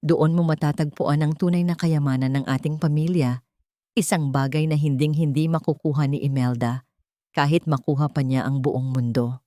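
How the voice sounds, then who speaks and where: A woman's voice: deep voice, fairly even intonation, moderate pace, warm tone, dry recording, close to an everyday voice. Loving, solemn mother, read by a woman. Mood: solemn, urgent. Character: loving, solemn mother reading out her letter.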